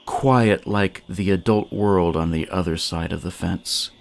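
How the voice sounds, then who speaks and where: A man narrating a scripted story in a theatrical, deliberate way with dramatic pauses. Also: fairly low pitch. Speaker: storyteller and show creator.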